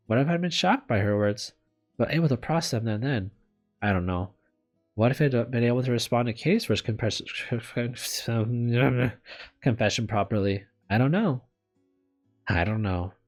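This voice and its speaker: Candid let's-player over soft guitar music. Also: mid-range voice. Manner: candid, self-deprecating, apologetic, swears. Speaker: video game streamer. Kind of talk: let's play, reading visual novel aloud with casual commentary.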